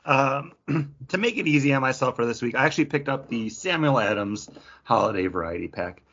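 A man's voice: mid-range voice, lively intonation, a little fast, a bit bright, husky, lo-fi sound. A man co-hosting a podcast in casual chat, with a dry, teasing manner. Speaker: podcast co-host.

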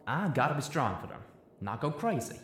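Pony stallion with a folksy drawl, high and emotional, a male narrator putting him on; lively swinging pitch. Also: moderate pace, a little hiss or hum. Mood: anguished. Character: young male pony, rough-spoken, guilt-torn and earnest.

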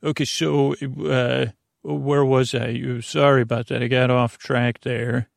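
A man hosting a bedtime podcast in a creaky voice, wandering haltingly through tangents and tripping over his words. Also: mid-range voice, flat delivery, no echo, clean studio sound. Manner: meandering, halting, digressive, stumbling over words. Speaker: podcast host. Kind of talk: rambling bedtime podcast monologue.

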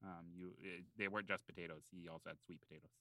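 A man's voice: low-pitched, fairly even intonation, rapid-fire, pushed and bright, no echo. A man playing a self-serious island local who explains town lore in long, hesitant, mock-scholarly rambles on an improvised comedy podcast. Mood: earnest, rambling.

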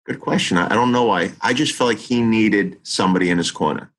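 A man's voice: on the low side, fast talker, a bit bright, rough recording. A man in his forties, a teacher, talking earnestly and reflectively in an interview, often restarting his sentences. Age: 40s. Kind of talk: interview conversation.

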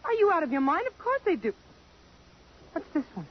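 A woman's voice: very high-pitched, very animated, fairly quick. An actress playing a bewildered, indignant young career woman in a 1950s radio drama. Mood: flustered, dismayed. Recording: muffled 1950s radio recording.

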